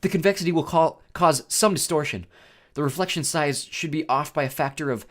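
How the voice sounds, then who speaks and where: A man's voice: on the high side, fairly quick, pitched above the performer's own voice, lightly acted. A man voicing a brainy, bossy young girl in a storybook, speaking in an urgent, insistent way. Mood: brisk, bossy.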